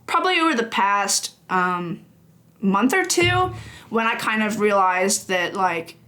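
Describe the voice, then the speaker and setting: A young woman in her early twenties talks at an ordinary pitch, easygoing and rambling. She laughs often and leans on loose fillers as she chats as a podcast guest. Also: very animated, a little slow, pushed and bright. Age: early 20s. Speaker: podcast guest, games journalist. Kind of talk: casual chat.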